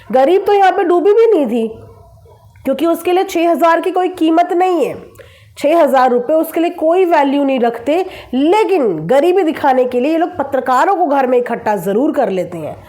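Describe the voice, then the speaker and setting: Female vlogger, high voice. Opinionated commentary delivered straight to viewers, sarcastic and emphatic. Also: expressive, normal speed, warm tone. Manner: sarcastic, with rhetorical questions and emphatic repetition. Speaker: vlogger commenting on online creator gossip.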